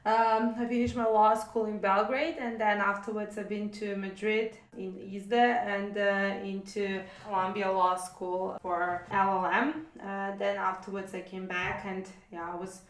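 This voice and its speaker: A woman's voice: mid-range voice, little pitch movement, measured pace, few pauses. A woman in her thirties from Serbia with a Serbian accent, chatting hesitantly with many fillers as a podcast co-host. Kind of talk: casual conversation. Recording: roomy echo.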